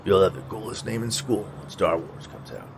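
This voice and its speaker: A male reader plays a grumpy, jaded man in a comic spooky story. He is dry, sarcastic and impatient, with noise clearly behind him. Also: lowish voice, moderate pace. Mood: dry, unimpressed.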